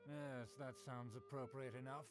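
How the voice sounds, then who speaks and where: A man's voice: mid-range voice, steady tone, normal speed, over music, natural voice. An actor playing a haughty male aristocrat in a video game, pompous and stiffly formal. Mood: disdainful. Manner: pompous, archaic formal phrasing.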